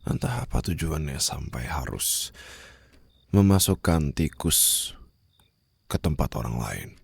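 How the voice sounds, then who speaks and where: Man acting as a very deep, gravelly mafia boss. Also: sing-song, takes it slowly, dry recording, full character voice. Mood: stern, accusing. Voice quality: very low, gravelly, husky. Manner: formal, condescending, controlled, mockingly sweet. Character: cold, menacing male mafia boss taunting his captive. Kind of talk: ASMR roleplay acting, addressed to the listener. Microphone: close studio-style microphone.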